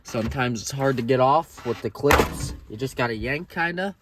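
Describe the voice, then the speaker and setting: A man's voice: medium pitch, moderate pace. A man giving a casual, plain-spoken how-to walkthrough in an informal style. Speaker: DIY presenter. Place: in and around a pickup truck. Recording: clear background noise and handling clicks.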